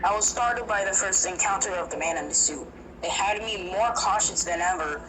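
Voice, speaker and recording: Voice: medium pitch, brisk. A narrator telling a first-person horror story, hesitant and prone to restarts. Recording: noisy recording.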